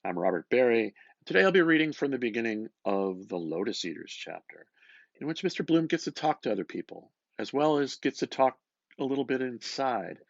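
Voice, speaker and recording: A man giving an expressive, theatrical reading of literary prose, lingering over his phrases in a slightly muffled recording. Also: mid-range voice, fairly quick, creaky, no room sound. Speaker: reader, artist. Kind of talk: dramatic literary reading aloud.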